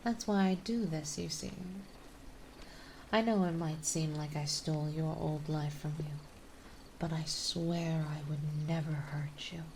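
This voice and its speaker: A woman playing a gentle yet possessive, dominant vampire mistress in an ASMR roleplay, speaking softly and soothingly, often in a breathy whisper. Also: low-pitched, steady tone, a little hiss or hum, poor audio. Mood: protective, possessive. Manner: soothing, coaxing, slow and deliberate.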